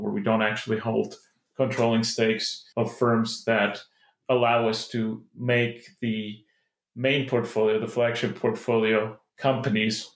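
Guest: middle-aged Czech-born man, low flat voice, roomy echo, steady explaining. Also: vocal fry. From Czech Republic. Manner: measured, explanatory, some restarts. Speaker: private equity partner, guest. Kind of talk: interview answers.

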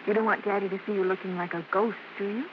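An actress playing a gentle, soothing mother who works on the stage, in vintage radio drama style. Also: mid-range voice, steady tone, fast, heavy background noise, natural voice. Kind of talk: 1940s-50s radio drama acting. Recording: dull vintage radio recording with music.

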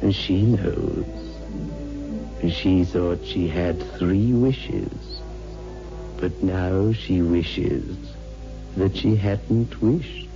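An actor playing a sinister, sardonic host of horror tales on 1960s radio, ominous and teasing. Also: male voice, low voice, extremely slow, creaky, heavily acted. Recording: muffled old radio recording with music.

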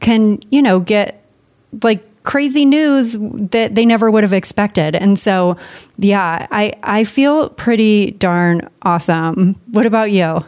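A woman's voice: medium pitch, takes it slowly, a little mellow, clear, resonant tone. A woman in her late thirties co-hosting a podcast, chatting casually in an upbeat, enthusiastic way. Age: 30s. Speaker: podcast co-host. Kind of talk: casual chat.

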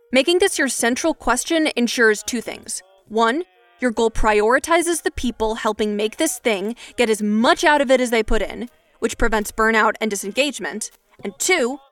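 A woman's voice: a little high, a bit bright. A woman hosting a podcast, delivering scripted, conversational how-to narration with wry, self-aware asides. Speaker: podcast host.

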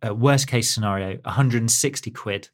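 A man's voice: medium pitch, moderate pace. A man co-hosting a casual technical chat, rambling and self-deprecating, with many fillers and restarts. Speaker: technical editor, co-host.

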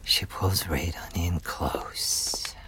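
Very deep, slow male narrator. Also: fairly bright tone, whispered. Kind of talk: scripted audio drama narration. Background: music.

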